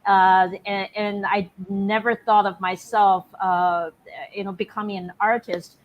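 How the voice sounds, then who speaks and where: A woman's voice: medium pitch, flat delivery, measured pace, narrow, tinny audio. A middle-aged woman, a guest in an online interview, speaking in a reflective, conversational way. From Taiwan.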